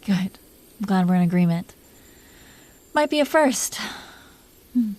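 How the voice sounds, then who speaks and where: A woman voicing a protective, smitten dragon queen in an intimate romantic audio roleplay, speaking softly and breathily with an affectionate, teasing manner, often near a whisper. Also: medium pitch, animated, natural voice. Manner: affectionate, teasing, slow confiding delivery.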